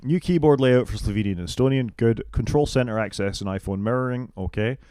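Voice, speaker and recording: A British man co-hosting a casual tech podcast, going through a list of features and giving quick, opinionated takes. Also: mid-range voice, warm tone, smooth, dry recording, clean studio sound. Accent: British English. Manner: fast-talking, opinionated, goes down the list item by item. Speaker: podcast co-host.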